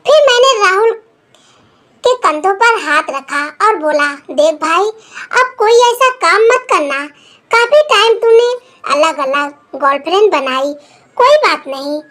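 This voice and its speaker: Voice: extremely high pitch, lively intonation, measured pace, a bit bright. A narrator reading a scripted first-person story, delivering the dialogue as part of the telling.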